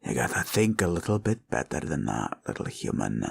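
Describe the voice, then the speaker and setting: A man playing a scheming, condescending male green dragon villain in a roleplay ASMR audio, with a gravelly, husky voice and a slow, probing drawl. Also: a bass voice, very animated, exaggerated voice. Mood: stern, condescending.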